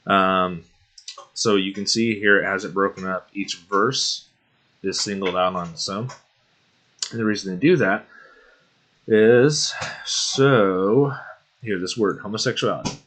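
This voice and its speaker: Young male host, casual Bible-study walkthrough, bright lowish voice, throat-clearing, unhurried. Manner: unhurried, often clears his throat, says um.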